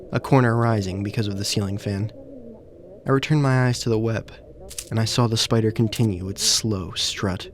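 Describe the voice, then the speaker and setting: A man acting as an uneasy protagonist who narrates a surreal audio drama in deliberate, literary, descriptive phrases. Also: fairly low pitch, a little hiss or hum, lightly acted. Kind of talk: scripted first-person audio drama narration.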